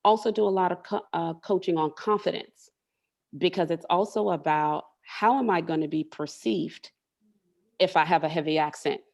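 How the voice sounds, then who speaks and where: Low-voiced woman explaining earnestly. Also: normal speed, vocal fry, darkish tone. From Southern United States. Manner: earnest, explanatory, repeats words while thinking. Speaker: interview guest, tech leader and coach. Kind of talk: candid interview conversation.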